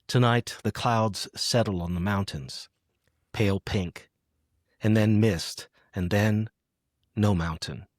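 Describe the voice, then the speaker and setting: A man hosting a poetry podcast, talking and reading in a reflective, halting conversational way. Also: fairly low pitch, no echo, clean studio sound. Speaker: podcast host. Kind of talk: conversational poetry interview with read-aloud poems.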